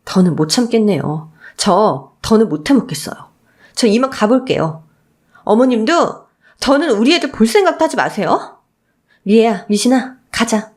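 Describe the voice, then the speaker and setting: A woman's voice: a little high, lively intonation, moderate pace, a little mellow, lightly acted. A woman voicing a long-suffering daughter-in-law who protests indignantly in a radio story drama. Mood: fed up.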